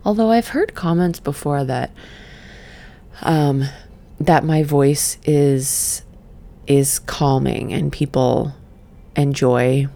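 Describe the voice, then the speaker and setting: A middle-aged woman who just woke up, leading a gentle breathing exercise and then reflecting in a soft, meandering way. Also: low voice. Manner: soft, slow and meandering, with sighs and fillers. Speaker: podcast host and session coach. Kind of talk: guided breathing then casual reflective talk.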